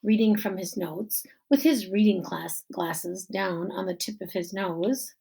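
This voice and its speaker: A woman hosts a children's read-aloud at an unhurried pace. Her voice has a noticeable creak, and she phrases the story deliberately in short segments with pauses. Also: mid-range voice, dark tone.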